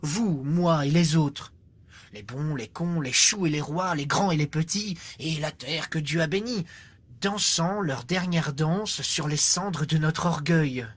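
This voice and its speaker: A man performing a poetic monologue as a storyteller, theatrical and moving between hushed and intense delivery. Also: a little high, measured pace, slightly rough, no room sound. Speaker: writer and storyteller.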